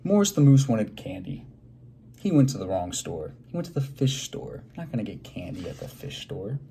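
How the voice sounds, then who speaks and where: A man reading a picture book aloud to children in an expressive way, pausing to prompt them with simple questions. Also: fairly low pitch, a little hiss or hum, low-quality recording.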